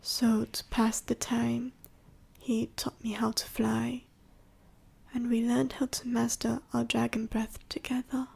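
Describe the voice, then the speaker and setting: Woman voicing a breathy, whispering, dying female dragon. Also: on the high side, flat delivery, darkish tone, heavily acted. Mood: somber, reminiscing. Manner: halting, slow, solemn storytelling. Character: dying female ender dragon, ancient, sorrowful, gentle. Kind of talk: ASMR audio roleplay monologue to the listener.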